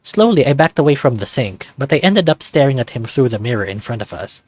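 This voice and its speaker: A man's voice: mid-range voice, sing-song, fairly quick, no echo. A man reading a visual novel aloud as a let's-play narrator, slipping into casual reactions. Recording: music playing behind.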